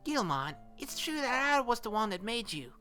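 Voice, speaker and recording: An earnest young boy, played by a woman, talks in a bright voice that rises and falls freely. He speaks hesitantly and conversationally, as in an audio drama monologue to a missed companion. Soft music plays behind him. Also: a little high, big pitch swings, moderate pace. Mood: heartfelt, faltering. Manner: hesitant, earnest, conversational with small nervous laughs.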